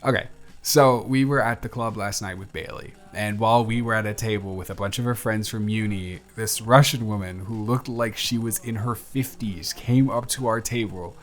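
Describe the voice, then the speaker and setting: A man's voice: on the low side, moderate pace, natural voice. A young man playing a chatty, friendly barista who is sweet on a friend, in an intimate one-sided audio roleplay with casual, slightly stammering delivery. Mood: amused, animated. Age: young adult. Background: music.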